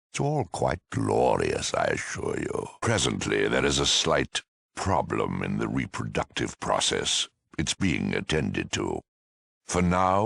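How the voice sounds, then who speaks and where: Hugely deep, gravelly mutant villain. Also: male voice, fairly bright tone, no echo, exaggerated voice. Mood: smug. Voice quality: gravelly, raspy. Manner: mock-courteous, droll, condescending, deliberate. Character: haughty, menacing super mutant lieutenant, sardonically polite. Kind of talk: video game villain acting.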